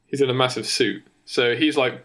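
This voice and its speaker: A man co-hosting a podcast, casually improvising a story in a halting way, as if thinking aloud. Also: mid-range voice, heavy background noise. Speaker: podcast co-host.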